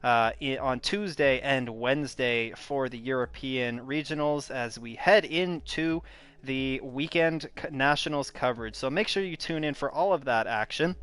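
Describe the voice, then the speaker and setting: Guy hosting sim racing coverage, smooth clear voice, lots of uhs as he signs off. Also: mid-range voice, normal speed, fairly bright tone. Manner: hesitant, filler-heavy, friendly sign-off delivery. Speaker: esports race commentator. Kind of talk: broadcast race commentary wrap-up.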